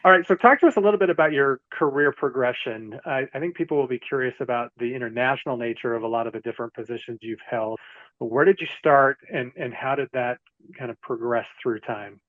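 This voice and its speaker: A man hosting an interview, asking questions in a friendly, halting way with many fillers over a video call. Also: mid-range voice. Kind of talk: casual interview. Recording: tinny phone-like call audio.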